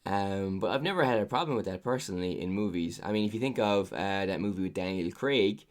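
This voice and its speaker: Deep-voiced Irish man, rambling. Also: expressive, fairly quick. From Ireland. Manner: rambling, heavy on ums and uhs. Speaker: podcast co-host. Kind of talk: casual podcast chat.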